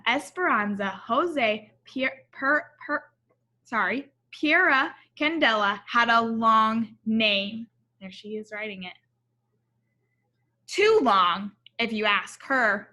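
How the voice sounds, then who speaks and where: Bright-voiced woman reading to children. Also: on the high side. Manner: instructive, pausing to question and point out pictures. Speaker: teacher reading a picture book aloud. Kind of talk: storybook read-aloud with asides and questions to children.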